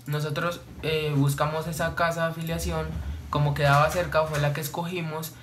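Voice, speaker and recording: A man tells a story to the camera from a small room at home. His voice sits a little high, and he explains things steadily, with plenty of fillers along the way. A faint hiss runs underneath. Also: normal speed. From Colombia. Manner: calm, explanatory, with many fillers. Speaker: vlogger.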